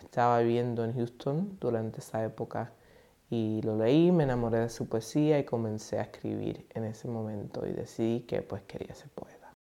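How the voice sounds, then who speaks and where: Poet, halting and reflective, mellow voice. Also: mid-range voice, vocal fry. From United States and Puerto Rico. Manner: hesitant, thoughtful, many fillers and restarts. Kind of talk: reflective interview answer.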